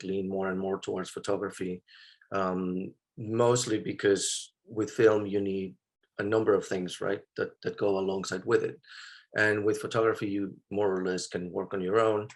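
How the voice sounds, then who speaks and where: A man in his thirties from Mexico, a photographer answering interview questions in a thoughtful, hesitant way with many fillers and restarts. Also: fairly low pitch. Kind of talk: interview conversation.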